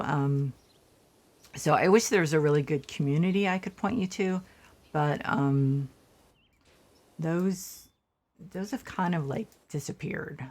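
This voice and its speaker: A middle-aged woman streamer, hoarse from losing her voice, chatting softly and supportively, with many pauses and restarts. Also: sits low. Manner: soft and hesitant, many fillers and restarts. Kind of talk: casual supportive chat during a game stream.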